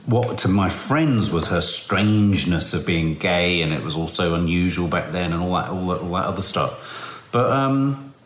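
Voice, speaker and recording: A man co-hosting a casual parenting podcast, talking openly and with expressive, rambling asides. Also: sits low, moderate pace. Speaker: podcast co-host. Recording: roomy echo.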